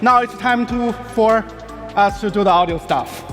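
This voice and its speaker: A man presenting a software demo live on stage, playful and joking with the audience. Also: high-pitched, normal speed. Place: large event hall on stage. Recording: hall reverb with live crowd. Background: music and audience reactions.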